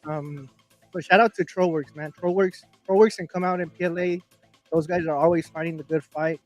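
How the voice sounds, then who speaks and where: A man's voice: a little high, fairly even intonation, normal speed, soft background music. A man hosting a podcast in casual chat, loose and slangy, calling his guest "bro" often. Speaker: podcast host.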